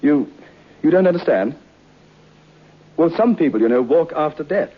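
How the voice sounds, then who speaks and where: An actor playing a polite, earnest young divinity student in a vintage radio drama, a little hesitant and defensive. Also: male voice, fairly high pitch, expressive, moderate pace. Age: young adult. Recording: muffled old radio recording.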